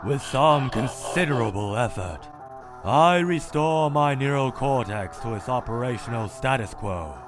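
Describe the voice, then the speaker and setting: A man voicing a cold, logical male robot scientist who narrates in a clinical, measured, detached way for a comic dub. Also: medium pitch, expressive, close to an everyday voice. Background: loud music.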